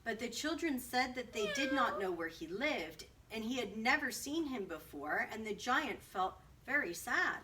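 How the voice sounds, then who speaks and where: A woman narrating a children's story aloud in an expressive read-aloud style. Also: on the high side, measured pace, few pauses. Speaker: storybook reader. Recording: distant mic, faint background noise.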